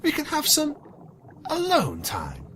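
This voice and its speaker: Man doing a high, haughty female lobster-pony. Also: wildly swooping pitch, slow, background noise, heavily acted. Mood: seductive.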